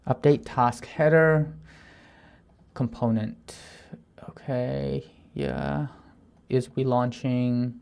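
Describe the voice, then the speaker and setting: Guy in his 30s coding on stream, mumbles stuff half to himself, trips over his words a lot, middle pitch voice. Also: fairly even intonation, creaky, clear, resonant tone. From Thailand. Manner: halting, trips over words and restarts when nervous; soft murmured asides while reading. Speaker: software developer streaming. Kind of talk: thinking aloud while coding, reading plan text.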